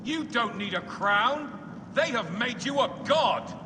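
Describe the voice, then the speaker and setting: An actor playing a defiant, accusing Roman senator with a forceful, challenging manner in a video game cutscene. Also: male voice, extremely high pitch, expressive, slow, heavily acted. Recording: reverberant hall, faint background noise.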